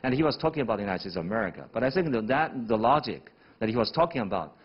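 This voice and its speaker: A male professor on a panel, measured and lecturing as he lays out his argument point by point. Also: medium pitch, lively intonation, husky, highs cut off. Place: TV studio.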